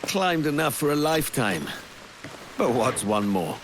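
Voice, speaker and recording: An actor playing a roguish, cocky young pirate in a video game, wry and cheeky in manner. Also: male voice, medium pitch, big pitch swings, measured pace, noisy background. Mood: weary, wry. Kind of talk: cinematic video game acting.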